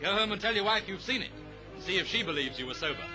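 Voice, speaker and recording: Male British newsreel narrator, 1930s style, high register, declamatory delivery over a music bed. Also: animated, quick pace. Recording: vintage 1930s newsreel soundtrack.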